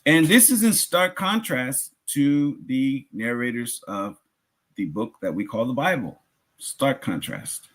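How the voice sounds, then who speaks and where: An American man giving a religious lecture as a teacher, speaking deliberately and repeating words and phrases for emphasis, with a slightly husky voice. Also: medium pitch, expressive, a bit bright. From United States.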